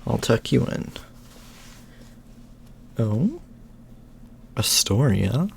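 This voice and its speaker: A man's voice: medium pitch, very animated, extremely slow, vocal fry, a little hiss or hum. A man doing affectionate ASMR bedtime storytelling as a boyfriend, in a soothing manner.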